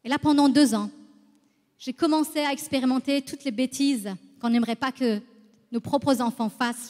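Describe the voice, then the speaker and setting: High-voiced Iranian-born woman in echoing hall. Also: a little mellow. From Iran, moved to France at eleven. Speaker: guest giving testimony. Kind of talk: personal church testimony. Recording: reverberant hall, long echo.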